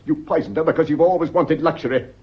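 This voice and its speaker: Husky, agitated British schoolmaster husband. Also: male voice, a little high, fast talker, highs cut off. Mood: bitter, accusing. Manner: agitated, wounded, stammering under pressure. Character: weak, defensive, accusing schoolmaster husband, adulterous widower. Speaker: radio drama actor. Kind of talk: melodramatic radio play acting.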